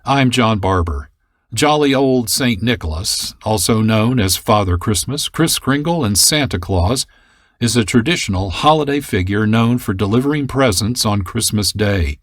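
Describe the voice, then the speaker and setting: A man hosts a radio program with scripted narration. His voice is low, recorded very dry in a studio. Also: lively intonation, normal speed, no room sound. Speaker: radio show host.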